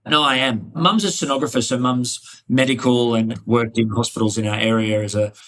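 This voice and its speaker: A man's voice: mid-range voice, steady tone, normal speed, a bit bright. An Australian man answering interview questions conversationally, in a reflective, discursive manner. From Hunter Valley, New South Wales, Australia. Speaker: interview guest, cardiologist.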